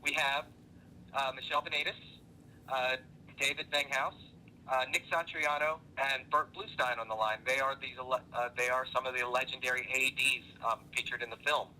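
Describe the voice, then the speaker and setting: A man hosting a radio talk show, interviewing guests in a relaxed way, with plenty of "uh" and "um" between words. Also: mid-range voice, moderate pace, a little hiss or hum, rough recording. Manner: filler-heavy, friendly, conversational.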